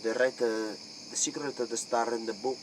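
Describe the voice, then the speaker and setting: A man playing a secretive vampire clan member in a comic interview, speaking halting, repetitive broken English. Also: medium pitch, level tone. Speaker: interview guest in character. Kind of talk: improvised comic role-play interview. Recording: noisy, crickets and insects behind.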